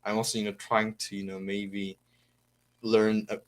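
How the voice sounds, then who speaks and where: A man's voice: fairly low pitch, level tone, a little slow. A teenage boy from Korea speaking English with an American-leaning accent, hesitant and full of fillers, practicing on a video call. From South Korea. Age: teens. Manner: hesitant, many fillers and restarts. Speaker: student. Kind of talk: casual conversation practice.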